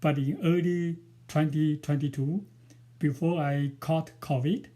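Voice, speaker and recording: A man in his sixties with a Chinese accent, Mandarin his first language, introduces his book before reading from it. He speaks very slowly and haltingly, with many pauses. His voice is mellow and sits a little high for a man. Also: steady tone. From China. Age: 60s. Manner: hesitant and careful, with frequent pauses and fillers. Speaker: author.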